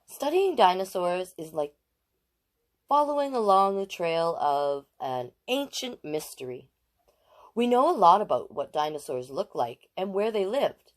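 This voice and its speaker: A woman in her late forties hosting storytime, reading aloud to children with expressive emphasis and chatty asides. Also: on the low side, a little slow. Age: late 40s.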